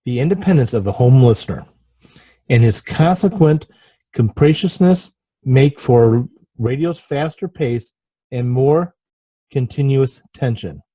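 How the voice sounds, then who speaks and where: A man hosting an informal writing lesson, halting and apologetic as he talks and reads aloud, often stumbling over his words. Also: medium pitch, lively intonation, warm tone, slightly rough, no echo, poor audio. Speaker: host of a writing podcast. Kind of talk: informal lesson with passages read aloud from a book.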